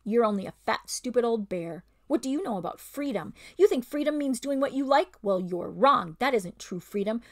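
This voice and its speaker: A woman's voice: fairly high pitch, animated, moderate pace, hardly any pauses. A woman voicing a scheming, bullying male ape who snarls and lords it over the other animals in a storybook reading. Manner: snarling, domineering, sly.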